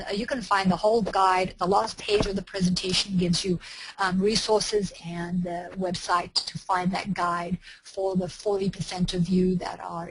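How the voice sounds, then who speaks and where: A woman's voice: mid-range voice, slight background noise, rough recording. A woman, presenting an online training webinar in a careful, explanatory way with frequent fillers and restarts. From South Africa.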